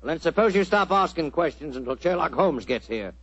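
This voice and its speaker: Hostile, sneering eccentric from a 1940s radio mystery. The voice sits a bit high and is curt. The recording is dry and dull. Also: male voice, lively intonation, fairly quick, a bit bright, slightly rough, no room sound, full character voice. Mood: scornful. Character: hostile, scornful man, a wealthy eccentric.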